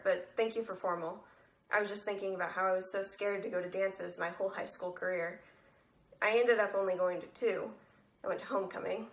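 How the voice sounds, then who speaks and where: Woman as teenage girl in a one-act drama, regretful and wry, gravelly fry, roomy echo. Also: mid-range voice, fairly bright tone. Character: dead teenage girl, depressed, wry and regretful, writing letters. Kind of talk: one-act play letter monologue. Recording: roomy echoing sound.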